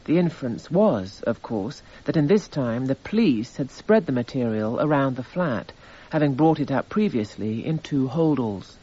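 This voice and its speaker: An actor playing a detached, methodical narrator reading out evidence in a radio drama, measured, precise and matter-of-fact. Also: male voice, medium pitch, expressive, warm tone, husky. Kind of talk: scripted narration. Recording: slightly dull radio recording.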